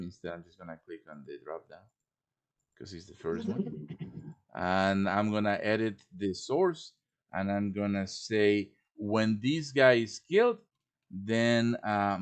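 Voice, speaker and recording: A man hosting a casual step-by-step software tutorial on a live stream, thinking aloud informally as he works. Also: fairly low pitch. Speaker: live stream host teaching quest making. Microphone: computer microphone on a live stream voice chat.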